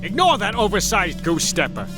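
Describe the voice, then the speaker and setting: A man's voice: high voice, sing-song, moderate pace. A man playing a bold, gung-ho American squadron leader in a motion comic, slangy and commanding, with an American accent. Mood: rousing, urgent. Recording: loud music behind.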